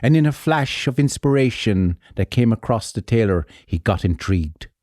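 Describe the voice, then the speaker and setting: A man narrating a folk tale as a storyteller, measured and theatrical, with deliberate pauses. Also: on the low side, animated, a little mellow, no room sound, studio quality. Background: music under the voice.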